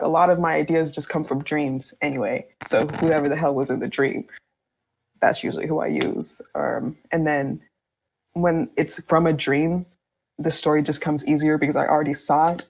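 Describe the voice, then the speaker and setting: A woman talking casually and candidly as a podcast guest, informal and quick to laugh as she speaks. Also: sits low, fairly even intonation, darkish tone, slightly rough, rough recording. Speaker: fan fiction writer, podcast guest.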